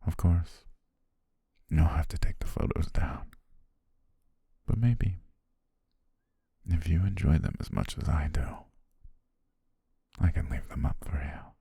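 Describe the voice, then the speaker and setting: A man playing an obsessive, possessive photographer in a hushed, intimate ASMR roleplay, speaking slowly in a husky, gravelly half-whisper. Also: very deep voice, animated, no room sound, clean studio sound, heavily acted. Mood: possessive, tender. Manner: slow, hushed, deliberate, with drawn-out pauses. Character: obsessive male yandere photographer, soft-spoken, possessive, menacing.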